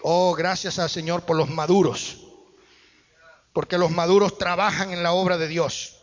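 Man preaching, really high bright voice, big echoey hall, bit muffled. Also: flat delivery, unhurried. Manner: emphatic preaching with rising bursts. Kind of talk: sermon. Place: church hall. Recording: muffled church hall echo.

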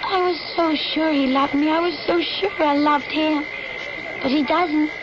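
A woman's voice: sits high, steady tone, a little slow. An actress playing an emotional, lovelorn young woman in an old-time radio drama, speaking in an earnest, heartfelt way. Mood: distraught. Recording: dull radio recording with music.

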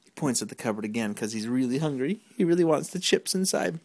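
Playful dad with a bouncy, dry voice. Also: male voice, lively intonation, quick pace, darkish tone, dry recording. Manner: jumps into high, exaggerated questions when voicing his lines. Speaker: father narrating a family skit. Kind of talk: playful home-video narration.

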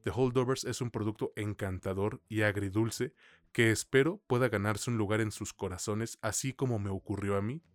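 A man's voice: on the low side, normal speed, no echo, clean studio sound. A man with a Mexican accent hosting a film review podcast in a colloquial, slangy way. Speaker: podcast host.

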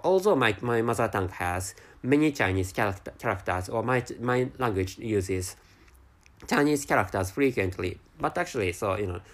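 A man with a Japanese accent, a native Japanese speaker, talking alone on his podcast in slow, halting English full of restarts and repeated words. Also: lowish voice. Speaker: podcast host. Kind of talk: casual solo podcast monologue.

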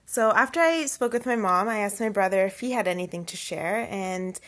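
A woman's voice: medium pitch, normal speed, a bit bright. A young woman from Canada telling a personal story in a chatty way, full of asides. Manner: chatty, many fillers and asides. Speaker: vlogger.

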